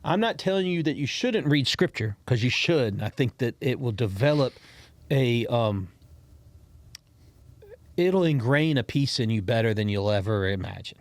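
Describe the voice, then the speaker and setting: A mid-pitched man hosting a podcast, chatting casually and speaking encouragingly to the listeners. He rambles, restarts his sentences and repeats words as he goes. The sound is dry and close, with no room echo. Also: animated, normal speed. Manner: encouraging, talks straight to listeners. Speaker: podcast host.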